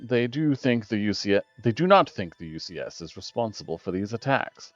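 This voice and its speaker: A man voicing a measured, argumentative male council official, formal and reasoning, in a political story game. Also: on the low side, very animated, no room sound. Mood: measured objection. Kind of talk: dramatic reading of story game dialogue. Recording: dull lo-fi audio with music behind.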